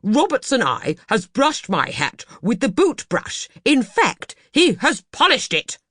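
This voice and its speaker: A woman's voice: a little high, wildly swooping pitch, a little slow, fairly bright tone, breathy edge, dry recording, clean studio sound, voice pushed up, full character voice. A woman voicing an irate, blustering father in an audiobook, with indignant, emphatic delivery. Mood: cross, grumbling. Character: irate, blustering middle-class father, grown man.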